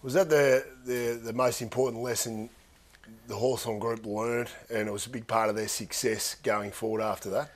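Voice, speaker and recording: A man's voice: medium pitch, little pitch movement, moderate pace. A man on a TV football panel, asking long questions with several parts. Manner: long, multi-part questions. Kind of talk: interview questions.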